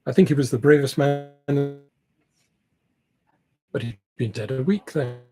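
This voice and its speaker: A man reading aloud the part of a genial, shrewd upper-class government gentleman from a classic novel. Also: medium pitch, unhurried, over music, close to an everyday voice. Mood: frank, opinionated.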